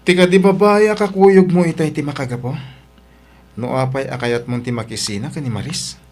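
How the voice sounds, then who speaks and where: A man's voice: on the high side, normal speed, darkish tone, a little hiss or hum, lightly acted. A man with an Ilocano accent playing a concerned friend who scolds his buddy in an audio drama. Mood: reproachful.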